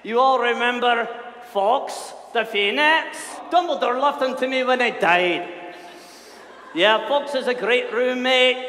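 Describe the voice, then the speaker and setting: A man playing a big, shaggy, gruff but affectionate groundskeeper in a comedic staged reading, loud and folksy. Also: very high voice, full character voice. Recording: echoey hall, live audience, faint noise.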